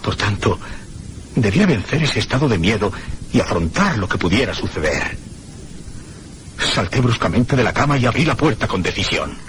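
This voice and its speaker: An actor playing a frightened man who recounts a night of eerie terror in a suspenseful, theatrical radio drama narration with deliberate pauses. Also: fairly low pitch, big pitch swings, a bit bright, a little hiss or hum. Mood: fearful resolve.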